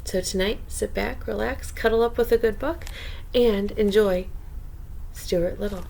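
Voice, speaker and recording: A woman reading a children's book aloud as a bedtime storyteller, expressive, with chatty asides to young listeners. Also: mid-range voice, a little slow, gravelly, slight background noise.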